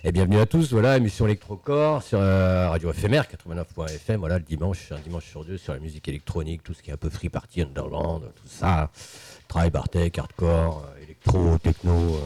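A man hosting a casual radio interview show, relaxed and chatty. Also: deep voice, a little fast, few pauses, slightly rough, no room sound. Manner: relaxed, chatty, drops English yeses. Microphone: studio mic.

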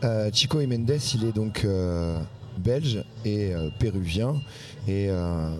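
Deep-voiced, hesitant male interviewer. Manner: hesitant, full of fillers. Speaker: radio interviewer. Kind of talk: interview questions.

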